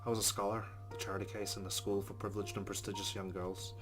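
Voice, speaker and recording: A man's voice: fairly low pitch, level tone, fast, fairly bright tone. A man hosting a game playthrough, reading narration aloud in a subdued way with swearing asides. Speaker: let's play host. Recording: guitar music behind.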